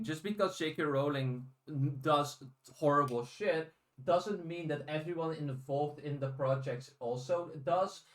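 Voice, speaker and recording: Man, podcast host, slightly high-pitched, casual chat, informal blunt delivery.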